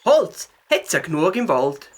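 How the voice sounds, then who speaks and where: Woman voicing a husky, lively, helpful young beaver. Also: fairly low pitch, a little slow. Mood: proud, confident. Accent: Swiss German. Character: young beaver, helpful, confident, practical bike rider. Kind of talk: children's audio play acting.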